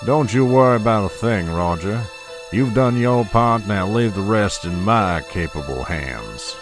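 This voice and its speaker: An actor playing a domineering, scheming older family patriarch in an audio soap drama. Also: male voice, fairly low pitch, lively intonation, unhurried, music in the background. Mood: quietly scheming.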